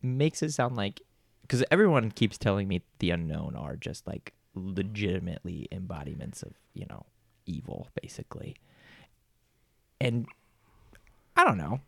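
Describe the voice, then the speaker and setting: A man's voice: deep voice, very animated, normal speed, creaky, no room sound. A young man playing his character in a tabletop game, talking casually with lots of restarts. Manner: casual, chatty, with frequent restarts and laughs. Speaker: player.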